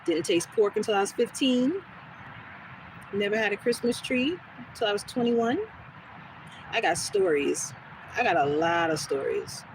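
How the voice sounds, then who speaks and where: A woman's voice: medium pitch, measured pace, long pauses. Chatty woman live-streaming outdoors. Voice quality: smooth, soothing voice. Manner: relaxed, rambling, talks back to chat comments. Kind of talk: casual live-stream chat with viewers. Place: outdoors on a quiet street. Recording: noisy outdoor phone recording.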